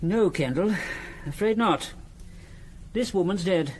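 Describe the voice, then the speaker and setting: An actor playing a matter-of-fact British gentleman in a radio thriller, speaking in clipped, decisive, sober phrases. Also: high-pitched, animated, slow pace, slight background noise. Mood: grave, brisk. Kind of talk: British radio drama acting.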